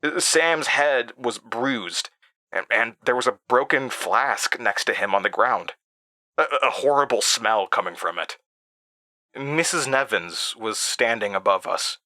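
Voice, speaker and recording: An actor playing an anxious, rattled man who haltingly recounts eerie memories, stammering and interrupting himself, in a horror audio drama. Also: medium pitch, expressive, pushed and bright. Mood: uneasy recounting. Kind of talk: first-person horror audio drama monologue.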